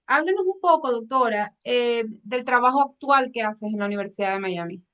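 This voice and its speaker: A woman's voice: on the high side, animated, moderate pace, smooth. A woman hosting a medical podcast interview, asking friendly, hesitant questions with many "eh" fillers. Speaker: podcast host. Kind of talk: hosted interview. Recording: dull lo-fi sound.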